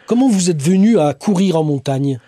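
A man's voice: high voice, expressive, normal speed, warm tone, no room sound, clean studio sound. A man co-hosting a radio show, telling stories and asking questions conversationally. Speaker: radio co-host and columnist. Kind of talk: conversational storytelling and interviewing. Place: radio studio. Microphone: studio microphone.

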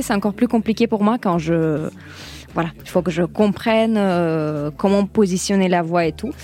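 A woman's voice: medium pitch, mellow. Hesitant woman. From Ukraine. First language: Russian. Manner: hesitant, searching for words. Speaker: singer guest. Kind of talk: radio interview chat.

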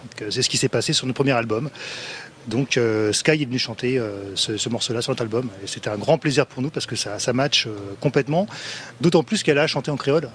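A man's voice: medium pitch, fast talker. A man, a sousaphone player, explaining things in an interview with a hesitant, explanatory manner. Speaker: musician guest, sousaphone player. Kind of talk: casual interview answers.

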